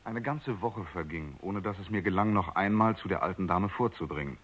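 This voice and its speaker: An inquisitive young man of the press in a radio play. His voice is deep and husky, his manner courteous but persistent. The sound is muffled, like an old broadcast. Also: lively intonation, fairly quick. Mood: earnest narrating. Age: young adult. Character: eager, polite, inquisitive young male reporter. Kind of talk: radio drama acting and narration. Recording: dull vintage radio recording.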